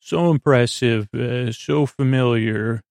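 A man with a creaky, gravelly voice hosting a bedtime podcast, meandering through tangents in a halting, stammering monologue. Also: mid-range voice, darkish tone, no echo, clean studio sound. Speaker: podcast host.

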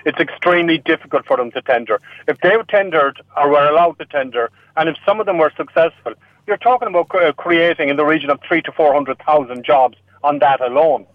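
Brief: Irish candidate on a tinny phone line, hesitant, lots of restarts, somewhat high voice. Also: male voice, no echo. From Irish-speaking region of Ireland. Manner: halting, many restarts. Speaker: election candidate. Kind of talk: radio panel discussion.

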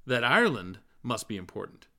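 A man's voice: mid-range voice, sing-song, a little fast, pushed and bright. A man voicing a sullen young intellectual in an audiobook, dry, cross-tempered and aloof. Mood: sardonic.

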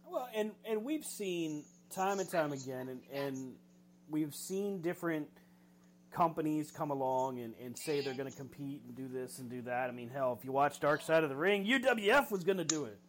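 A man with a slightly high voice who co-hosts a casual chat. He is excitable, laughs often and swears casually. A faint hum runs under the recording. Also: normal speed. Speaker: podcast co-host. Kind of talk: casual chat about wrestling.

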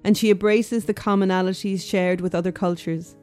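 A woman narrating a documentary in a calm, scripted delivery. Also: medium pitch, a little fast, soft background music.

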